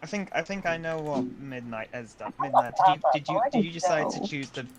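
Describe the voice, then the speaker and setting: A man's voice: a little high, animated, a little fast, over a noisy crowd. A man co-hosting a casual livestream who teases his friends playfully and sometimes puts on mock-formal judge talk. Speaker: streamer co-host.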